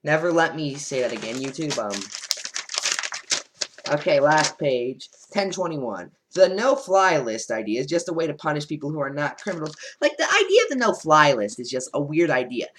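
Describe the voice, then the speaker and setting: Animated sarcastic male vlogger. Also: on the high side, moderate pace, background noise. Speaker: political commentator vlogger. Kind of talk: casual reaction commentary over notes.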